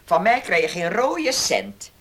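Domineering farm mistress, swinging pitch. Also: female voice, huge pitch swings, a bit bright, slightly rough, heavily acted. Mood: scornful, scheming. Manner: commanding, condescending, deliberate threats. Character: domineering, scheming mistress of a farm, cold and threatening. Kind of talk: Dutch radio play acting.

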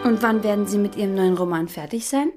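A woman's voice: medium pitch, fast, dark tone, faint music behind, close to an everyday voice. An actress playing an eager, forthright eighteen-year-old schoolgirl, familiar and a little bossy, in a radio drama. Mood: inquisitive, bossy. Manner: direct, eager, quizzing, familiar.

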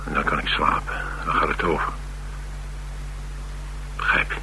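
An actor with a Netherlands Dutch accent playing a troubled, blunt ex-soldier and restless drifter in a radio drama, wry and halting in delivery. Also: male voice, extremely low pitch, monotone, pushed and bright, heavily acted, poor audio. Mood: troubled, uneasy. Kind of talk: radio drama dialogue acting. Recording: radio drama with clear hiss and hum.

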